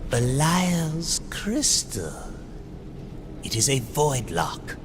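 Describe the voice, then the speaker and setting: An actor playing a sly, creepy demon merchant in a video game, gloating and savoring every word. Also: male voice, medium pitch, sing-song, extremely slow. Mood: creepy fascination. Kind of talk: video game creature acting. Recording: noisy ambience behind the voice.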